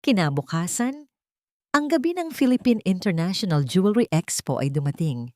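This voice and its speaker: Low-pitched woman narrating a dramatic story. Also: very animated, moderate pace, mellow, no echo, studio quality.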